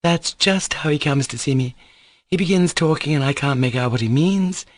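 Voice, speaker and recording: A man putting on a sharp reproachful young woman. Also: fairly high pitch, moderate pace, dry recording, clean studio sound, voice pushed up, natural voice. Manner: reproachful, insistent.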